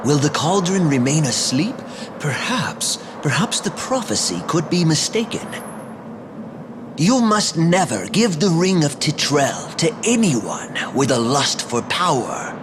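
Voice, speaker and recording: A man's voice: on the high side, big pitch swings, measured pace. A man playing a solemn, protective, fretful old master in an anime-style video game. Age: older. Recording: clear background noise and ambience.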